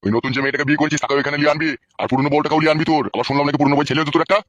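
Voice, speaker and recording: A man's voice: on the high side, fairly even intonation, racing, a bit bright, dry recording, lo-fi sound, close to an everyday voice. A man voicing a bullying, scheming local politician in a comic cartoon, scolding and interrogating coarsely. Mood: bossy. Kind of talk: comic cartoon dialogue in colloquial rural Bengali.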